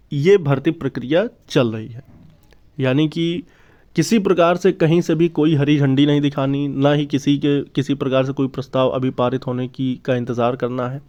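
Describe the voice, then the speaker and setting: A man's voice: mid-range voice, mellow. A man giving an informal explanatory news update, repeating and rephrasing his points as he goes. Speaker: YouTube exam news presenter. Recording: dull, lo-fi sound.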